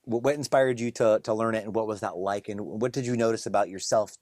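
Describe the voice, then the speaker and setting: A fairly low-voiced man hosts a casual podcast chat and wanders through his thoughts with many restarts. The sound is dry and close, with no room around it. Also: fast talker, no room sound. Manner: relaxed, rambling with frequent restarts. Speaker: podcast host. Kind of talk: casual conversation.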